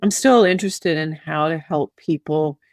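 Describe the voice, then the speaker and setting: A woman's voice: deep voice, moderate pace, darkish tone, no room sound. A woman in her fifties with an American Midwestern accent, thoughtfully telling her story as an interview guest. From Midwestern United States. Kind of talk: interview answers.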